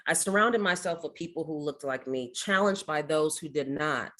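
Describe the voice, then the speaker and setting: A woman in her forties, from Ohio, giving a keynote speech at a ceremony in a measured, rhetorical way, building toward challenge questions to the audience. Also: low-pitched, a bit bright. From Ohio, USA. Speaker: keynote speaker.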